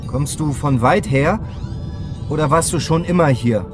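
A man playing an earnest, curious scientist in a radio play, speaking in a measured, wondering way. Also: on the high side, natural voice. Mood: awed, curious. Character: earnest, awed male scientist on a space mission. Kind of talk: German radio drama acting. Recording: music bed behind the voice.

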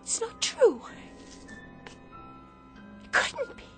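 An actress playing an emotional young android daughter in a radio drama, pleading and dramatic. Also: female voice, very slow, pushed and bright, faint music behind. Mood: desperate pleading. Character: anguished young android daughter discovering she is a robot.

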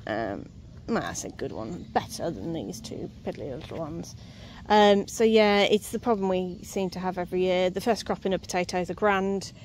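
A woman's voice: fairly low pitch, animated, moderate pace, a little mellow, slight background noise. A woman giving a casual, self-mocking tour of her allotment garden, recorded outdoors on a handheld phone. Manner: chatty, self-mocking, laughs easily. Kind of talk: casual walk-through chat. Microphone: handheld phone camera, speaker off-screen. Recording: faint outdoor ambience.

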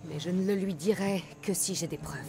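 Une actrice de doublage jouant une guerrière mercenaire posée et pince-sans-rire dans un jeu vidéo. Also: female voice, fairly low pitch, fairly quick, over loud music, close to an everyday voice. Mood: composed, determined. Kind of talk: dubbed video game acting.

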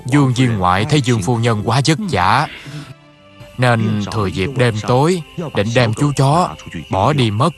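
An actor playing a kindly, patient older Buddhist master gently telling a story in a dubbed cartoon. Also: male voice, medium pitch, lively intonation, unhurried, over music, natural voice. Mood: patient, teaching. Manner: gentle, explanatory.

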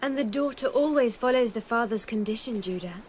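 An actress playing a gentle, anxious and devoted young woman in a radio drama, speaking earnestly and with deference. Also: a little high, brisk, a little hiss or hum, close to an everyday voice. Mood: dutiful.

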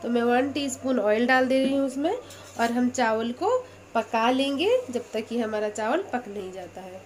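A woman's voice: on the high side, normal speed, a little hiss or hum. A woman hosting her own cooking channel, talking through each step of a recipe in a chatty, patient way from her home kitchen. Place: home kitchen by a gas stove.

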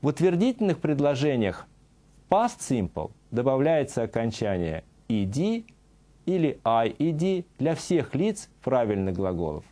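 A middle-aged man teaching on a TV studio set, reading a scripted lesson and saying example sentences slowly and carefully. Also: mid-range voice, sing-song, mellow. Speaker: English teacher.

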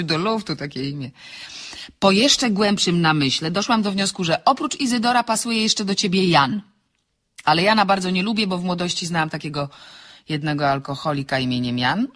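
A woman's voice: fairly low pitch, a bit bright. An actress playing an impulsive, inquisitive, chatty woman in an audio drama, with a theatrical manner. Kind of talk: audiobook radio drama acting.

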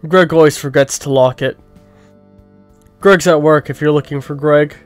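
A man voicing a calm, nerdy young male bear in a game playthrough, speaking lower and softer than his own voice. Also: moderate pace, dry recording, soft background music, lightly acted. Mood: explaining.

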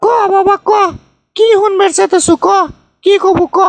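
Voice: very high-pitched, little pitch movement, unhurried, no room sound, faint music behind. A performer voicing a bossy, foolish middle-aged village aunt in a comic cartoon, folksy and repetitive, with a northern Bangladeshi Bogra accent. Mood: explaining. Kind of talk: comic cartoon dialogue, rambling storytelling.

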